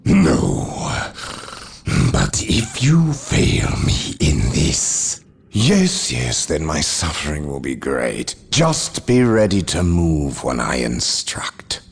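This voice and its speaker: A man playing a menacing, scheming dark fantasy villain in a game cutscene, theatrical and sinister with a gravelly voice. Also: extremely low pitch, huge pitch swings, slow, full character voice. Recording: loud music behind.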